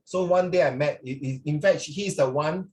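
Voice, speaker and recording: A man's voice: fairly high pitch, normal speed, darkish tone. A middle-aged man with a Singaporean accent, chatting informally as a language teacher and slipping between English and Mandarin, with frequent tags like "ah". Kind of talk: informal classroom talk with students.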